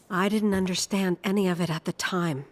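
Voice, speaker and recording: An actress playing a kindly, wistful middle-aged widowed mother, telling her story softly in a game cutscene. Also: on the low side. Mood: reflective. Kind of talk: dramatic game cutscene narration.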